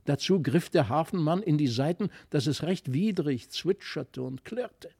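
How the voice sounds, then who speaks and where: Expressive male storyteller, slightly high voice. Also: measured pace, creaky, husky. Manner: dramatic, expressive. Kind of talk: scripted literary fairy-tale narration.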